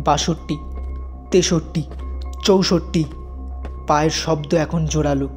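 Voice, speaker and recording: A man narrating an audio story in a dramatic, suspenseful and expressive way. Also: on the high side, a little slow. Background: background music.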